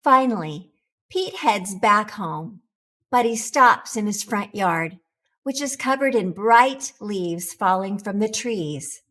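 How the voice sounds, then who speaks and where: A woman reading a children's picture book aloud in an expressive, deliberate storytelling manner for young listeners. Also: medium pitch.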